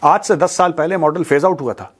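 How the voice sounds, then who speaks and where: An Indian man with a slightly high voice gives informal news commentary. He is blunt and mocking, talking colloquially to his viewers and slipping into Indian-accented English. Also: brisk. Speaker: commentator and show host.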